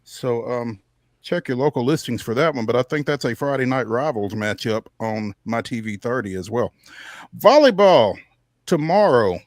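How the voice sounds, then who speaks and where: A man's voice: mid-range voice, no echo, clean studio sound. An American man hosting a sports show, reading through a local games schedule in a conversational way with off-the-cuff asides.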